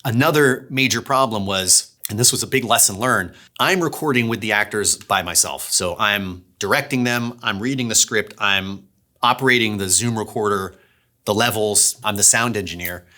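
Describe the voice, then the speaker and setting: A man's voice: lowish voice, normal speed, pushed and bright. A man hosting a behind-the-scenes series, explaining his production work in a casual, conversational way. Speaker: audio drama director and series host.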